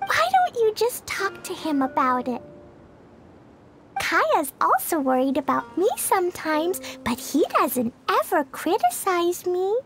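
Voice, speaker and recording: A woman's voice: very animated, a little slow, fairly bright tone, soft background music, exaggerated voice. A woman voicing an innocent, cheerful little girl in a video game, speaking simply and earnestly in a childlike voice. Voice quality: childlike, high voice. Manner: simple, earnest, refers to herself by name.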